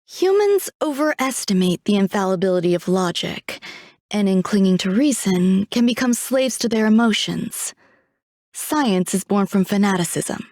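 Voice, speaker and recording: A serene, aloof genius scientist from a video game, played by a woman. She is a refined woman with a medium-pitched voice, speaking with poised, poetic detachment. The sound is very dry, with no room around it. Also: expressive, a little mellow, no room sound, lightly acted. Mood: serene, reflective. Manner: composed, poetic, measured, coolly detached. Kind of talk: video game character voice lines.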